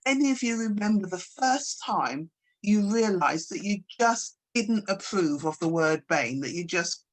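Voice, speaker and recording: A woman's voice: mid-range voice, low-quality recording. Woman moderating a panel. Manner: affirming, gives frequent "mm" responses. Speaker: panel host.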